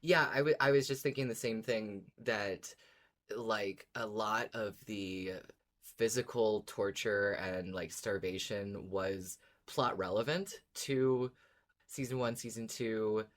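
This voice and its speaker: A podcast co-host speaks at a middling pitch in an informal fan discussion. The manner is agreeable and conversational, with thoughts worked out aloud. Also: slow. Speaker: podcast co-host discussing a horror audio drama.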